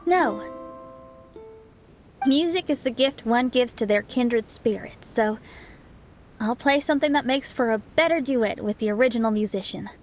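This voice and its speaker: A woman's voice: fairly high pitch, normal speed, long pauses, soft background music, natural voice. An actress voicing a spirited young female rock musician in a game who speaks in a bold, rousing, theatrical way. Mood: earnest.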